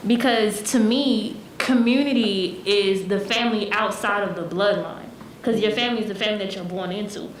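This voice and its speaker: Young female panelist from the US, mid-pitched, conversational and explanatory, in a reverberant room with faint noise. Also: brisk, hardly any pauses. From United States. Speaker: town hall panelist. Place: echoey room at a town hall forum.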